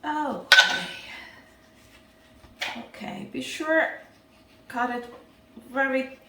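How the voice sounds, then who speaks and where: A woman's voice: sits high, expressive, very slow, echoey room, distant mic, heavy background noise. A woman with a Ukrainian accent hosting a casual cooking demonstration, chatty and often checking in with her viewers. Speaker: cooking show host.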